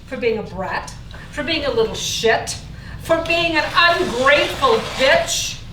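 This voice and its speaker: A woman's voice: sits high, expressive, unhurried. A woman playing a bitter, scornful sick mother in a staged play reading. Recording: echoey room, distant mic, faint noise.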